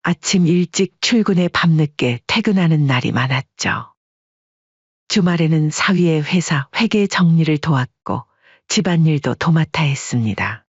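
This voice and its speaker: Breathy, even-paced woman narrator. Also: low voice, unhurried, dry recording, muffled recording. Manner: even, storytelling delivery. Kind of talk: scripted story narration.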